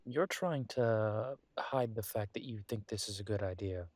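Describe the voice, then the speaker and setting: A man acts out a tender, tired male werewolf detective in a roleplay audio drama. He speaks slowly in a fairly deep voice, coaxing softly with thoughtful pauses. The sound is close and dry. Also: no room sound, natural voice. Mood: coaxing, affectionate. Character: affectionate, world-weary werewolf detective, male, coaxing his partner.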